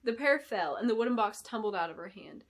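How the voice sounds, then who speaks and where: A woman narrating a fantasy novel in an expressive, deliberate storytelling style, as an author reading aloud. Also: fairly high pitch. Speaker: author reading her book. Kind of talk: dramatic scripted fantasy narration.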